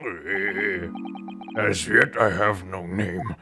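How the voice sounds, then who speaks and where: A man voicing a despairing, theatrical scholar who flees reality in a video game, speaking haltingly. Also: low voice, gravelly, music in the background, heavily acted. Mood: blank denial. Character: despairing, melodramatic Japanese scholar fleeing reality.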